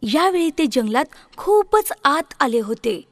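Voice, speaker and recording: A woman's voice: high-pitched, sing-song, a little slow, dry recording, studio quality. A woman reading a scripted fairy tale for children as the storyteller.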